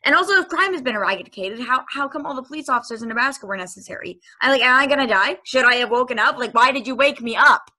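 A woman reading the part of an anxious, inquisitive space probe in a play, halting and questioning, over a video call. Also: high voice, animated, pushed and bright, heavily acted. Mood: urgent, overwhelmed. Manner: halting, questioning, increasingly urgent. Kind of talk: table read of a stage play. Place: home room, over a group video call.

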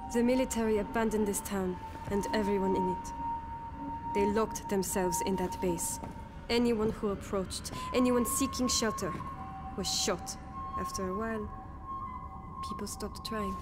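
An actress playing a grim, haunted survivor in a video game, speaking gravely and deliberately. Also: female voice, medium pitch, fairly even intonation, close to an everyday voice. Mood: grim warning. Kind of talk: video game cutscene acting. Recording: loud music under the voice.

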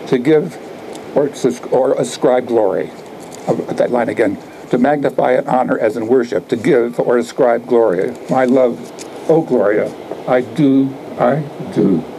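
An elderly man reads his poems aloud to a microphone in a garden. His voice is dark and relaxed, with a middle pitch. He places short phrases deliberately, in a declamatory way, over light ambient noise. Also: poor audio. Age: older, 70s. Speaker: poet reading own work. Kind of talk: live poetry reading with asides. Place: outdoor garden reading. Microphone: microphone on a stand.

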